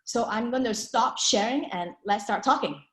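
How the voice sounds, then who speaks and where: A woman's voice: on the high side, moderate pace, a bit bright. Chatty woman, echoey room. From Hong Kong. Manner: chatty, many fillers and restarts. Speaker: venture capital panelist. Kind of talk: presenting slides in an online panel.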